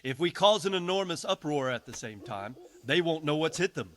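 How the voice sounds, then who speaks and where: An actor playing a shrewd medieval lord in a video game, speaking in a dry, wry, deliberate manner. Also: male voice, fairly high pitch, expressive, a bit bright. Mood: sly. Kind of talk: dramatic video game cutscene acting.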